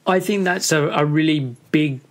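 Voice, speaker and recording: A man hosting a podcast interview as a nutritionist, speaking in a measured, agreeing way. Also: a little high. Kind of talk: interview conversation.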